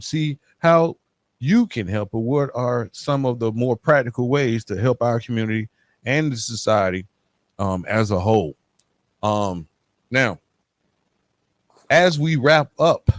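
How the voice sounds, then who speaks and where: A male podcast host with a medium-pitched voice and a very dry sound. He speaks haltingly, feeling for his words as he addresses listeners and his guest. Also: dry recording. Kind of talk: hosting an interview podcast, wrapping up with calls to action.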